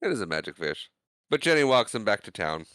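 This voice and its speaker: A man's voice: lowish voice, sing-song, fairly quick, a bit bright, smooth, dry recording, clean studio sound. A man co-hosting a podcast, retelling film scenes in casual chat, acting out the characters' quoted lines. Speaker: podcast co-host.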